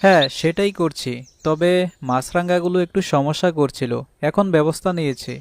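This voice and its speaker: A man's voice: fairly high pitch, normal speed, dry recording, natural voice. A man voicing a practical, good-humoured village fish farmer and husband in a cartoon fairy tale, explaining things step by step. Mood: friendly. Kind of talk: animated fairy tale voice acting. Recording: clearly noisy track with birdsong.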